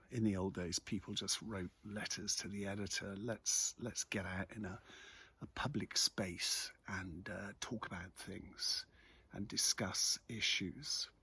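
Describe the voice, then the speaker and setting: An older man chatting casually about local events in a hesitant, rambling, reflective way, with a husky voice. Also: fairly low pitch, bright, forceful tone. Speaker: local news vlogger. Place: outdoors in a quiet churchyard.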